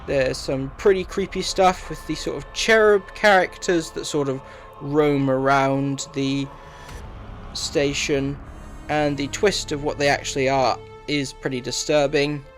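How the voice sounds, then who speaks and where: A man's voice: fairly high pitch, steady tone, unhurried. Man narrating a review over music.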